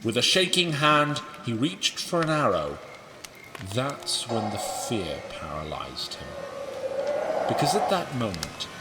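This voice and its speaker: Slow, dramatic male narrator over music. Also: mid-range voice, noisy background.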